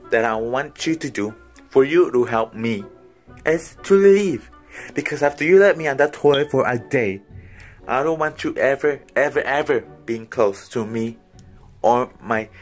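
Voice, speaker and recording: Man doing a vindictive old grandma, brash and insulting, in a normal-pitched voice with faint music behind; phone-cam bedroom sketch. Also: lightly acted. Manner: brash, insulting, trash-talking. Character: bossy older grandmother, cursing and vindictive. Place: small cluttered bedroom, indoors. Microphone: phone camera at arm's length.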